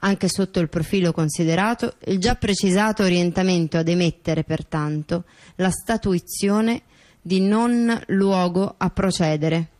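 A female stage performer giving a staged reading of a court ruling and a chronology, with measured, formal recitation. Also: mid-range voice, darkish tone.

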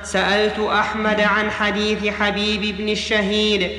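A man's voice: high voice, monotone, normal speed, bright, forceful tone. A man reading a classical religious text aloud as a student in a lesson, in a formal reciting manner. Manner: formal recitation, repeating phrases when corrected. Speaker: student reader. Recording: echoey hall with long reverb.

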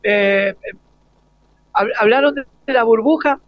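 A man's voice: very high voice, dry recording, lo-fi sound. A man with an Argentine accent conducting a live radio interview, hesitating and repeating words as he forms his questions. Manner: halting, repeats words while forming questions.

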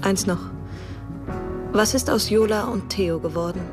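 Casting: actress as earnest, lovelorn woman, medium-pitched, direct, intimate radio play dialogue with music behind. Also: unhurried, natural voice. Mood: wistful. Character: wistful, questioning woman still in love with her ex.

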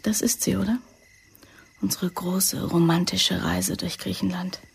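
A woman's voice: lowish voice, fairly even intonation, a little slow, gravelly. A woman acting a defiant, idealistic young actress with radical politics in a German radio play, outspoken and stubborn. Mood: cool, questioning.

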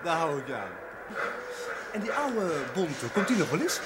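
A coarse workman who needles and bosses in a brusque way. The voice is high and very animated, on a heavily noisy seventies TV drama recording. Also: normal speed. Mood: mocking. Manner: brusque, teasing. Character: coarse, mocking coal-yard man teasing a simpleton. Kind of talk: television drama acting. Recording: very noisy 1970s television soundtrack.

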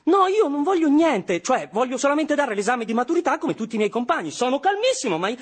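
A man's voice: very high voice, big pitch swings, fast, breathy edge, heavily acted. An actor playing an exasperated young Italian man in a theatrical radio monologue, emphatic and pleading. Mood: pleading, agitated. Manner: emphatic, pleading, spelling out words insistently. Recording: music behind the voice.

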